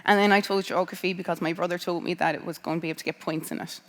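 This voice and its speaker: A woman's voice: fairly low pitch, fairly even intonation, racing. An Irish woman in her thirties tells students about her path into science. She talks casually, with frequent ums and restarts. Her voice carries a long reverb from a large hall. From Ireland. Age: 30s. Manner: conversational, with many um fillers and restarts. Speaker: scientist giving a talk to students. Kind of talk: personal career talk.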